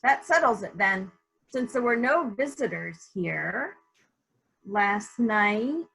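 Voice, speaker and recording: A woman playing a brisk, probing investigator who questions witnesses deliberately in a murder mystery reading. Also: mid-range voice, lively intonation, gravelly, a bit bright, breathy edge, exaggerated voice, rough recording. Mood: pointed, businesslike.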